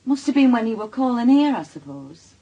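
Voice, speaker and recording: An actress playing a shrewd, suspicious wife with a Northern English accent and a dry, bitter wit in a naturalistic radio drama, speaking in a pointed, probing way. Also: female voice, on the high side, big pitch swings, a little fast, mellow, muffled recording. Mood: suspicious, sarcastic.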